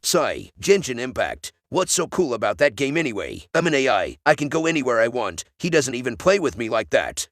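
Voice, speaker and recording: A man's voice: mid-range voice, big pitch swings, fairly quick, hardly any pauses, no echo, clean studio sound. A man playing a snippy, literal-minded AI assistant in a comedy skit, blunt and reciting like a search engine. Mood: curious, defiant.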